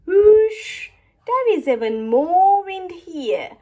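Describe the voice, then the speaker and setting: Woman voicing an excitable young space explorer child. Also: very high-pitched, extremely slow, voice pushed up, heavily acted. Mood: playful. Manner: exclamatory, wonder-filled, playful.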